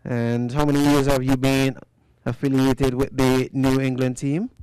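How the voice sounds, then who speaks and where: A man's voice: medium pitch, little pitch movement, unhurried, darkish tone, clean tone, no echo, poor audio. A man commentating on a live cricket match from a commentary booth, chatty and teasing as he interviews a guest. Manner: chatty, teasing, fond of asking follow-up questions. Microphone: commentary booth microphone.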